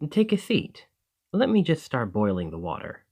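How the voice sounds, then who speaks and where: A man playing a friendly, excitable village alchemist in an ASMR roleplay, chatting eagerly to the listener in a slightly flustered, rambling way. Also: medium pitch, moderate pace, slightly rough, heavily acted. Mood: flustered, cheerful.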